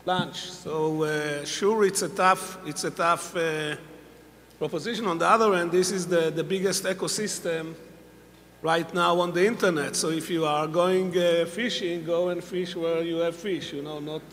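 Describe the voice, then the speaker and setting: Man, non-native English, halting, wry, higher pitched, echoey hall. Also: fairly even intonation, fairly bright tone. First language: not English. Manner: halting, wry, many fillers. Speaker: conference judge. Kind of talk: panel feedback. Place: conference hall. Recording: long hall reverb, faint hum.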